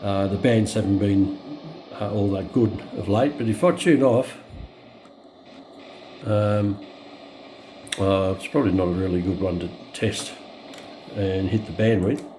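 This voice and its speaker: Low, mellow Australian man, halting delivery. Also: steady tone, slight background noise, rough recording. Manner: halting, matter-of-fact explaining. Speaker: radio collector presenting a review. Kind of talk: unscripted hands-on product demonstration.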